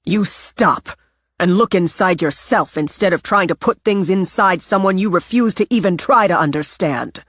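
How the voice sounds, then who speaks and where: Woman doing a calm, firm grandmother. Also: lowish voice, normal speed, no room sound, studio quality, voice pushed up. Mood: stern. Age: older. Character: older grandmother, firm, calm, loving but stern.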